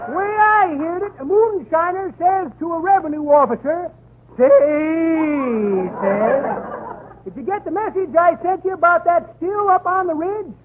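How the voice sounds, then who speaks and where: A man acting a chortling, folksy old-timer who tells corny jokes in an old radio comedy. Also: very high voice. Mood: chortling, gleeful. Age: older. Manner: chortling, drawn-out joke delivery. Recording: noisy, tinny 1939 radio recording.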